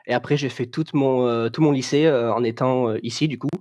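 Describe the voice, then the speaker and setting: A young man from France talks as a guest on a chat stream. His voice sits at an ordinary middle pitch, and he speaks haltingly, with many fillers and restarts as he explains. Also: steady tone, darkish tone. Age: young adult. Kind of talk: casual chat.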